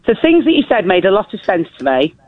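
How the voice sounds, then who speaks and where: A woman with a deep, slightly crackly voice, calling in to a radio show and speaking hesitantly and nervously. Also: sing-song, fast talker. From northern England. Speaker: caller. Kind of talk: phone-in call to a radio show. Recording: tinny phone line.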